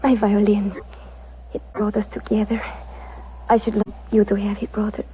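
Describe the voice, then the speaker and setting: An actress playing a selfless, dying Romany fortune-teller, speaking slowly and solemnly in a failing, breathy voice in a 1940s radio drama. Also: female voice, mid-range voice, flat delivery. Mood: tender, fading. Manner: slow, solemn, tender delivery. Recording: muffled, noisy 1940s radio recording.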